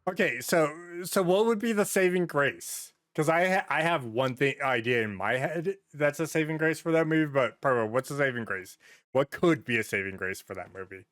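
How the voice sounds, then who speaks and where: A man's voice: a little high, lively intonation, moderate pace, fairly bright tone, dry recording, clean studio sound. A man hosting a podcast, chatting casually and swearing freely as he tells anecdotes and acts out little quotes. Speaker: podcast host. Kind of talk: casual podcast chat about movies and games.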